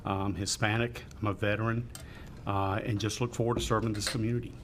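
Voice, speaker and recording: A man's voice: fairly low pitch, flat delivery, a little fast, slight background noise. A man from the Dominican Republic introducing himself as a new board member, humble and good-humored.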